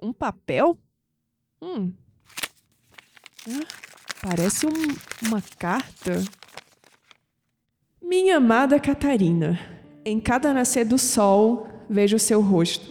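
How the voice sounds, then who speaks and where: A woman playing a young, clumsy, curious granddaughter in an audio drama, expressive, with a Brazilian accent. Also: on the high side, slow pace, long pauses, big-room sound, a little hiss or hum. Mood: startled, puzzled. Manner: expressive, musing aloud to herself.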